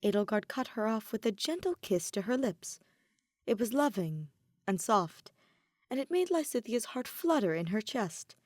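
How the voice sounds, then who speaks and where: A woman narrating a fan fiction story in an even, measured storytelling manner. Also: a little high, dry recording, studio quality. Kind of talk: audiobook narration.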